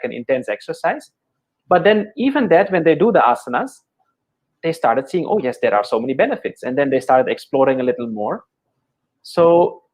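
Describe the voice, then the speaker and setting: Middle-aged Dutch-born man, Indian-accented English, slightly high voice, gentle unhurried interview guest. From Netherlands. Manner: measured, gentle, many fillers and self-repeats. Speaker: yoga teacher, guest. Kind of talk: thoughtful conversational explanation.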